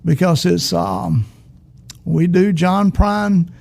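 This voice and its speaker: Male host, high-pitched, heavy fry, husky, easygoing. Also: steady tone, slow, a little mellow. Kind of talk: casual interview chat.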